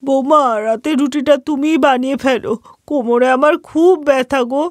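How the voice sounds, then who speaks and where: A woman's voice: sits high, fairly even intonation, normal speed, dry recording, clean studio sound, close to an everyday voice. A woman voicing an older, complaining mother-in-law in a moral-story cartoon, with a nagging, sighing delivery. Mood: weary, aching.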